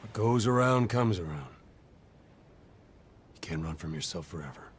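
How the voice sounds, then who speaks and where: Lively, wry veteran soldier. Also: male voice, low voice, moderate pace, noisy background. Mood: quietly knowing. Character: wry, knowing male veteran soldier and mentor. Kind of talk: dramatic video game voice acting.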